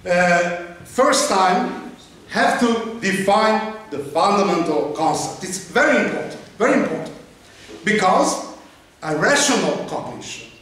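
Hungarian man, 50s, university lecturer; high voice, halting English, picked up far off in a roomy lecture hall. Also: a bit bright. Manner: halting non-native English with many uh fillers, gesturing while pacing. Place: large lecture hall with chalkboard. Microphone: far camera. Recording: echoey lecture hall, distant mic.

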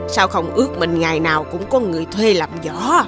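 A woman voicing a chiding old village wife in an animated fairy tale. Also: on the low side, fairly quick, music in the background, natural voice. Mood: teasing, chiding.

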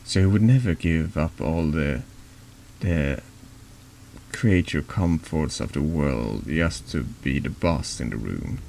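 A man's voice: extremely low pitch, expressive, takes it slowly, gravelly, darkish tone, exaggerated voice. A man voicing a snide, needling man in a confessional for a horror text game. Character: taunting, insinuating man in a confessional. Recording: noticeable background noise.

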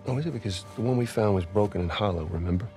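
Game role for a male actor: scrappy American treasure hunter, persuasive, halting speech, fry in a fairly low voice. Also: over music, lightly acted. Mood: sly, coaxing. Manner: casual, halting, coaxing. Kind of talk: naturalistic video game acting.

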